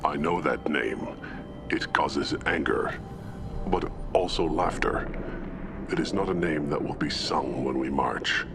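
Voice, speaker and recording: A man playing a young tank-bred alien warrior in a video game, primal and solemn, speaking in halting, terse, archaic phrases with a gravelly growl. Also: a bass voice, music in the background. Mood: solemn, brooding.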